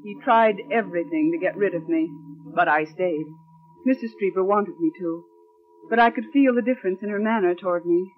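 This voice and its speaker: A woman's voice: fairly low pitch, fairly quick, music in the background, lightly acted. Woman acting a wary radio-drama assistant, muffled vintage recording. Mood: aggrieved. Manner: formal, earnest. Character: principled, wary young woman, a loyal assistant and narrator. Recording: muffled, band-limited old radio recording.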